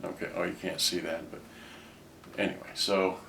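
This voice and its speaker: A man's voice: low-pitched, flat delivery, moderate pace, vocal fry, bright, forceful tone. A man giving a casual hands-on product demonstration, rambling and often trailing off mid-sentence, picked up by a distant microphone. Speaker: cassette player restorer presenting his own items. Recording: roomy, distant microphone with background noise.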